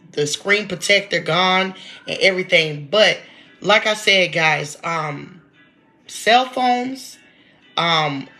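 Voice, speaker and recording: A woman's voice: lowish voice, pushed and bright. A woman in her late thirties, congested from allergies, chatting casually to her viewers in a vlog and often calling out to them directly. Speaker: vlogger. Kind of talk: casual talk to camera.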